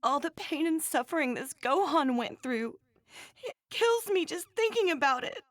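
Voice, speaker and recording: Doting, pointed anime game mother; fairly high register, expressive and strict. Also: normal speed, dry recording, clean studio sound. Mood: doting, pained. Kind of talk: scripted English anime game voice acting.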